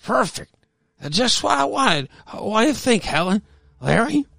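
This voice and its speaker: A slurring drunk husband, acted out by a man, pitched high and full of dim enthusiasm. He stammers and hesitates through a radio-play story, recorded close and dry. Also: big pitch swings, unhurried, vocal fry, sharp tone, dry recording, faint music behind, exaggerated voice. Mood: drunken delight.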